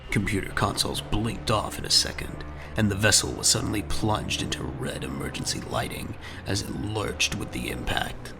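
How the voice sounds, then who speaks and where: A man's voice: lowish voice, lively intonation, normal speed, gravelly. A man narrating a scripted audio drama in a dramatic storytelling style. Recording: music bed under the voice.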